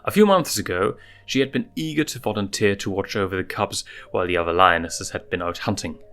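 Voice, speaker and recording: A man's voice: on the low side, a little fast, fairly bright tone. A man reading fan fiction aloud as a storybook narrator. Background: music.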